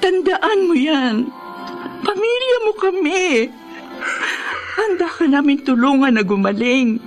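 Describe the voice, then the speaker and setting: An actress with a Filipino accent playing a devoted, anguished wife in a radio drama, pleading and emotional. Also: female voice, sits high, expressive, slow pace. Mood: reassuring. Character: devoted, anguished wife caring for her suffering husband. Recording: dramatic music behind the voice.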